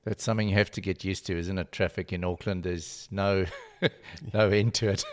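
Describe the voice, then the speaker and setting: A man's voice: low voice, fairly even intonation, normal speed, no room sound. A man hosting a podcast, reading a scripted introduction and asking upbeat, encouraging questions. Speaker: podcast host.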